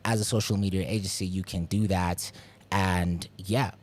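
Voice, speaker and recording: A South African man in his twenties, a guest explaining his agency in a relaxed way. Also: sits low, normal speed. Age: 20s. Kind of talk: casual interview talk.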